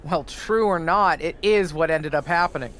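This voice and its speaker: Actor voicing blunt resentful coworker in a wrestling game, middle pitch, accusing. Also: normal speed, a bit bright, a little hiss or hum, lightly acted. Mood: reproachful. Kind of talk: video game cutscene acting.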